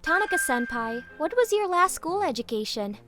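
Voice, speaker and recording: A cheeky young female office junior, played by a woman, sure of herself and acted in manga dub style. Her voice sits high. Faint music runs underneath. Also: very animated, moderate pace, bright, forceful tone, heavily acted. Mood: polite, cool. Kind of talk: English manga dub acting.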